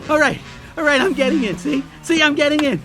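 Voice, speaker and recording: An actor playing a nervous, guilt-ridden husband in a radio drama, speaking in an agitated, emphatic way. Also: male voice, extremely high pitch, brisk, over music. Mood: desperate, pleading.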